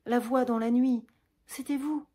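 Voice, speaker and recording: A woman's voice: fairly high pitch, fairly even intonation, measured pace, mellow, pitched above the performer's own voice. A woman voicing a passionate noblewoman who speaks urgently and emphatically, pressing with rising insistence. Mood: urgent, pleading. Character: passionate noblewoman, stunned and pleading.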